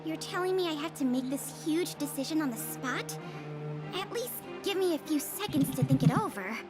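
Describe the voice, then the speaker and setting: A woman's voice: high-pitched, expressive, normal speed. An actress playing a friendly, pragmatic and thoughtful young woman in a video game, speaking expressively. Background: background music.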